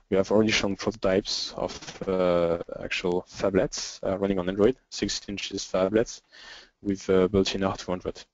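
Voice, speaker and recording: A man with a French accent answering questions as a developer in an informal webinar, speaking hesitantly with frequent pauses. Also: sits low, darkish tone, slight background noise. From France. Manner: hesitant, halting, with frequent pauses and throat clearing. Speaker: software developer and webinar presenter. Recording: dull lo-fi webinar audio.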